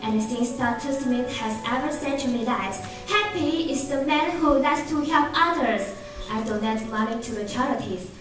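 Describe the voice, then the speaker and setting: An earnest, grateful character in a hobby radio drama, played by a woman. The voice is fairly high, a bit distant and washed in strong echo, with music behind. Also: moderate pace, close to an everyday voice. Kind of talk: amateur English radio drama acting.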